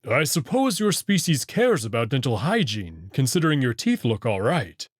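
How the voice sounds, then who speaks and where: A man's voice: medium pitch, huge pitch swings, moderate pace, breathy edge, no echo, clean studio sound. A man voicing an earnest, polite male wolf. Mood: explanatory. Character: friendly, earnest young male anthropomorphic wolf. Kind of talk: visual novel character reading.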